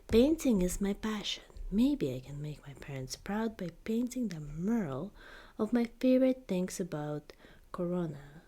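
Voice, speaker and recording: A woman voicing an earnest, gentle young princess in a soft, whispered ASMR storybook reading. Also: fairly low pitch, very animated. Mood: hopeful. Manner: soft, slow, careful delivery.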